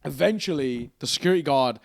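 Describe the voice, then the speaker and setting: A man's voice: fairly high pitch, lively intonation, fast talker, creaky, no echo, studio quality. A man co-hosting a casual chat, telling a long anecdote in a rambling way, full of "like" and swearing. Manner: rambling anecdote, heavy use of "like"; swears freely, amused storytelling. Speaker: co-host.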